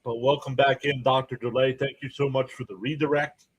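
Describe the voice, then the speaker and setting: A man's voice: medium pitch, flat delivery, brisk, slightly rough, highs cut off. A man hosting a live baseball stream, mixing clipped play-by-play calls with informal fan asides and chat to viewers. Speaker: livestream play-by-play host and fan.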